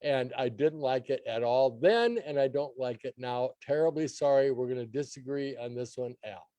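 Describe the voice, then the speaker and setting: A man's voice: medium pitch, moderate pace, dark tone, no echo. An older man appearing as a regular guest on a radio show, bantering casually and giving opinionated critiques of songs.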